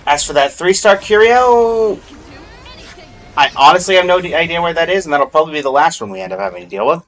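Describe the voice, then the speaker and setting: A man's voice: fairly high pitch, expressive, a little fast, fairly bright tone, some chatter behind. A man doing casual, chatty livestream commentary on a video game, speaking directly to his viewers. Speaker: live streamer.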